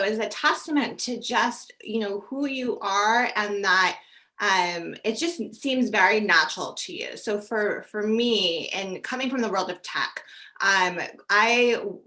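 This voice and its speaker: A woman podcast host with a bright, crisp voice talks chattily, filling her stories with casual asides and fillers. She sounds conversational and at ease, steering the interview. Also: medium pitch, rough recording. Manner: chatty storytelling with fillers like "you know".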